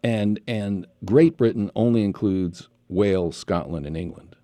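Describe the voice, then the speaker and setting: Deep-voiced chatty male host. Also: unhurried, mellow, no echo. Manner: rambling, chatty, asks follow-up questions. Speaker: podcast host. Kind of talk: casual interview chat. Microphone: headset.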